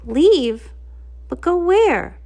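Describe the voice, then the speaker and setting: A woman's voice: extremely high pitch, big pitch swings, drawn-out words, mellow, pitched above the performer's own voice, exaggerated voice. A woman voicing a young winged pony heroine in a storybook, earnest, brave and emphatic. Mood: alarmed.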